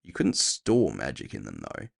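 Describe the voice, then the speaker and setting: A man's voice: rumbling low, huge pitch swings, moderate pace, gravelly. A man reading scripted first-person narration for an audio fiction story.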